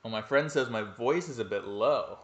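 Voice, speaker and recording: A man's voice: medium pitch, lively intonation, moderate pace, fairly bright tone, echoey room. Rambling young man streaming a game. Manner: rambling, self-mocking, swears freely. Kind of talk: casual live-stream commentary. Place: home room at night.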